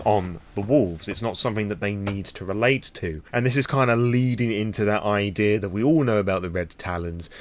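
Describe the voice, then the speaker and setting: A British man giving an impromptu, opinionated vlog monologue in a rambling, frank manner. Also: on the low side. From Britain. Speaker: vlogger on tabletop roleplaying lore.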